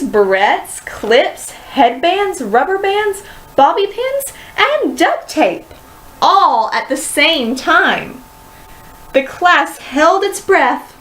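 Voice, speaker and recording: A woman reading a children's story aloud with expressive, dramatic and deliberate phrasing. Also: high-pitched, low-quality recording. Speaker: storybook reader.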